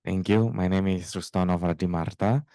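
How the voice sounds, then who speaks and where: Low, even Indonesian man, hesitant. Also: moderate pace, no echo, clean studio sound. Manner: hesitant, searching for words in non-native English. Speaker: audience member asking a question. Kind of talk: polite spoken question.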